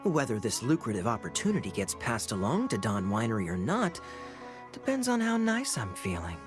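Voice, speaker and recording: An actor playing a suave, sly male knight captain in a video game cutscene, teasing and smooth with playful chuckles. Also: lowish voice, huge pitch swings, normal speed, music in the background.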